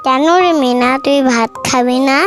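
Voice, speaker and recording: A woman's voice: sits high, little pitch movement, moderate pace, close to an everyday voice. A woman voicing a kind young tiger cub boy in a children's cartoon, coaxing and solicitous. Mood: concerned, caring.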